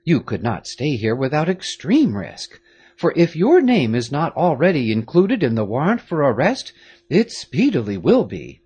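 A commanding aristocratic father lays down the law, as a man reads him. His husky voice rises high and swings widely as he speaks firmly and gravely. It is a storybook figure. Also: wildly swooping pitch, normal speed, darkish tone, heavily acted. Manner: firm, authoritative. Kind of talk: audiobook character reading.

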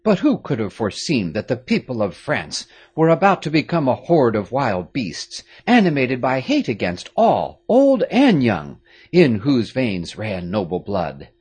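Stern old-world nobleman dad giving orders, read by a guy, husky, pitch swinging all over. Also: huge pitch swings, unhurried, a little mellow, full character voice. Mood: commanding. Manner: firm, authoritative. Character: stern aristocratic French father, commanding. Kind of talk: audiobook character reading.

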